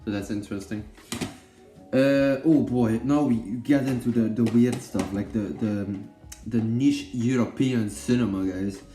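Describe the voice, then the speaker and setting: A man's voice: medium pitch, a little slow, warm tone, reverberant, soft background music. Rambling Belgian man talking films. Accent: Belgian Dutch. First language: Dutch. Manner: rambling, many fillers and self-corrections, joking. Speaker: film vlogger. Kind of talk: casual chat about films.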